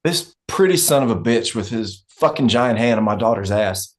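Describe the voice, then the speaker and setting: Weary, gruff father played by a man. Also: on the low side, lively intonation, moderate pace, lightly acted. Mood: irritated. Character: weary, gruff, wry middle-aged father. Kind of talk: screenplay table read.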